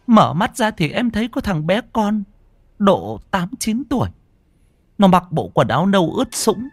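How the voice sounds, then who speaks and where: A man's voice: sits high, very animated, a little slow, a bit bright, no room sound, studio quality, pitched above the performer's own voice, full character voice. A man voicing a terrified young village wife who stammers in panic. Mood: panicked.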